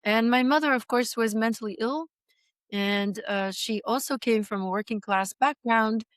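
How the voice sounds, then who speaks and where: Woman in her sixties, from Belgium, smooth clear voice, dry close sound, halting reflective testimony. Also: on the high side, no room sound. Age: 60s. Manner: reflective, halting, many fillers and restarts. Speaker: guest. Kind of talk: personal testimony in interview.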